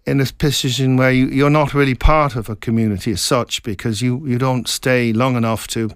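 A middle-aged man from Scotland, an author being interviewed, talking in a reflective, meandering way with halting restarts. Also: mid-range voice, fairly even intonation, smooth, no echo, clean studio sound. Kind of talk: casual interview chat.

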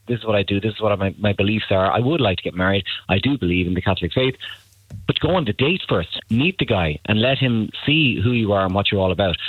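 A man's voice: lowish voice, husky, dry recording. A man giving blunt, emphatic dating advice on a radio call-in, often repeating himself. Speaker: matchmaking agency guest. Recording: tinny phone line, dry.

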